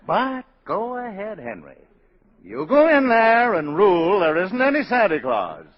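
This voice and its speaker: An actor playing a shrewd, wisecracking political adviser in a 1940s radio drama, slangy, wry and persuasive. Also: male voice, high voice, huge pitch swings, unhurried, exaggerated voice. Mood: sardonic persuading. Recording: muffled vintage 1940s radio broadcast.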